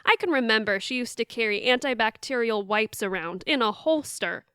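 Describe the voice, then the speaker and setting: A woman's voice: a little high, lively intonation, normal speed, sharp tone, smooth, no echo, clean studio sound. A woman playing a wry, exasperated young adult daughter in an audio theatre comedy-drama. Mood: wry, confiding. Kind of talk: audio theatre acting with confiding narration.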